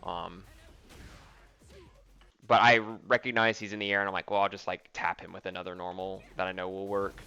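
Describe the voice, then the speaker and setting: A man's voice: deep voice, flat delivery, normal speed, vocal fry, long pauses, sharp tone, clear, resonant tone, highs cut off. A young man casually commenting on replays of his own fighting game match, thinking aloud with lots of ums and likes.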